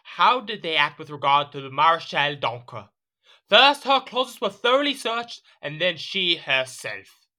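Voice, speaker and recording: Petulant, sharp-voiced, animated jealous king. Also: on the high side, unhurried, heavily acted. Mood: suspicious. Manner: imperious, indignant, theatrical. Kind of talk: audio drama period acting.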